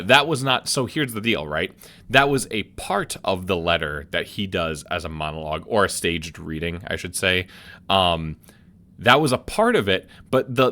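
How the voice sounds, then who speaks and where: A man casually commenting on a video game as he plays, thinking aloud and halting with many restarts. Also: fairly low pitch, bright, forceful tone. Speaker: let's play streamer.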